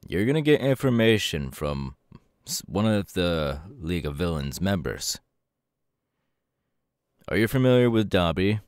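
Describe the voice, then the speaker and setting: Guy doing a serious hero mentor for asmr roleplay, really low voice, dry close sound. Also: big pitch swings, measured pace, long pauses, dry recording, exaggerated voice. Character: serious young male pro hero mentor briefing his protégé.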